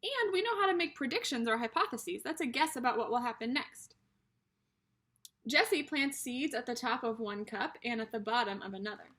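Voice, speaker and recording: A woman reads a picture book aloud as an early childhood teacher. Her voice is high and bright, with a husky, breathy edge. She addresses young listeners directly and explains each idea in simple terms. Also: expressive, normal speed.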